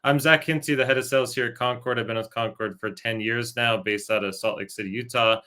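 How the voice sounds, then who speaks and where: A man's voice: mid-range voice, fairly even intonation, brisk, bright, forceful tone. A man who is head of sales introducing himself on a webinar in a friendly, upbeat way.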